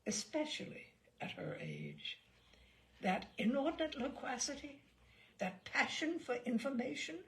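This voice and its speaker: A woman's voice: high voice, creaky, full character voice. A woman voicing a sardonic, grave ship's doctor of sixty, dry and pompous, in a storybook reading.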